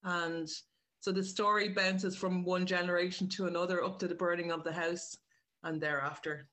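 A woman's voice: fairly low pitch, fairly even intonation, moderate pace, a bit bright. An Irish woman chatting as an interview guest, explaining her work in a wandering, self-correcting way. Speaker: writer and director, interview guest. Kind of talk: casual interview chat.

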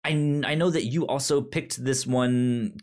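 A man with a frequent stutter, chatting casually and haltingly as co-host of a movie review podcast. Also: medium pitch, fairly even intonation, darkish tone. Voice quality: frequent stutter, repeating sounds and syllables.

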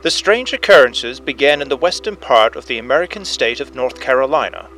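A man playing an earnest head police inspector, narrating a storybook tale in formal, measured fashion, as if on an old phonograph. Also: medium pitch, animated, fairly bright tone, voice pushed up, rough recording. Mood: grave, intrigued. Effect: old phonograph recording. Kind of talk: dramatic first-person storybook narration. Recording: narration over music, phonograph effect. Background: music.

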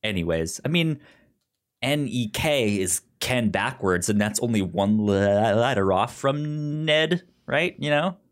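A man's voice: medium pitch, animated, takes it slowly. A man co-hosting a film podcast in casual conversation, stammering and often repeating syllables and words as he goes. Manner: acts out characters' lines while retelling.

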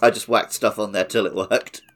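A man's voice: on the low side, fairly even intonation, normal speed. A man co-hosting a gaming podcast, talking casually in a wry, joking manner. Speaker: podcast co-host.

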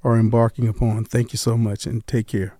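An older man hosting a veterans' community radio show, chatting in a relaxed, easygoing way and laughing easily. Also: medium pitch, brisk, no room sound, clean studio sound. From Las Vegas. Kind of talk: casual radio interview.

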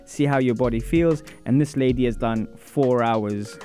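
A man's voice: medium pitch, unhurried, mellow. A man with a mid-range voice hosts a radio show and puts questions to his guest in a friendly, casual way. He chips in often with short agreeing replies. Faint music plays behind him. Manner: friendly, encouraging, casual, many backchannels. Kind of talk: radio interview hosting.

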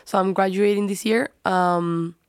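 A woman's voice: mid-range voice, fairly even intonation, unhurried, clean tone, dry recording, studio quality. A young woman from Spain with a Spanish accent, explaining things informally as a podcast guest. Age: young adult. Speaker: guest, student.

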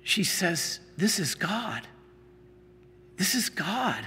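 A priest preaching in a church, his high, bright voice carrying a breathy edge. He talks slowly and deliberately, stumbling over restarts before pressing his points. A long reverb from the hall trails after every phrase. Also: sing-song. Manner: slow storytelling, halting restarts, rising to emphatic teaching. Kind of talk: sermon with a personal story.

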